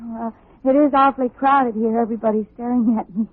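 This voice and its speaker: Woman acting an anxious, high-voiced young radio heroine. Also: steady tone, fairly quick, lightly acted. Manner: hesitant, timid, earnest. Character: anxious, earnest young woman of about twenty, scared and pleading. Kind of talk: 1950s radio drama acting. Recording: tinny, dry old radio recording.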